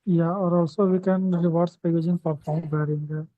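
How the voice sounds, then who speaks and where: A man explaining a solution in a group discussion, halting between words. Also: fairly high pitch, little pitch movement, no room sound. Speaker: forum participant. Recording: dry, dull call audio.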